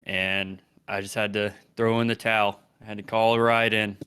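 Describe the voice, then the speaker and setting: A man's voice: fairly low pitch, level tone, moderate pace, bright, forceful tone, clean tone. A man co-hosting a casual podcast chat, easygoing and curious. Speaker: podcast co-host.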